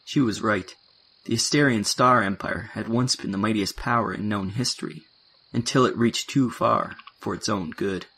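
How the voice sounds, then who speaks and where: A man's voice: lowish voice, normal speed, creaky, a bit bright, slightly rough. A man narrating a science fiction audio play in a scripted storytelling style.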